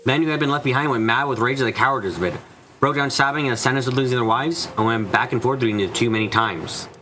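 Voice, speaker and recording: A man's voice: medium pitch, fast, pushed and bright, a little hiss or hum. A man narrating a mystery visual novel from a script as a fan dubber.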